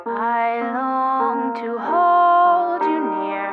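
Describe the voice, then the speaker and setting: A woman plays a lounge singer in a radio drama, singing a wistful ballad very high and extremely slowly. The sound is thin and phone-like, over loud piano. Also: expressive, full character voice. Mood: longing singing. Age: young adult. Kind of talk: slow sung ballad. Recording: tinny, phone-like narrow sound. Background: loud piano music.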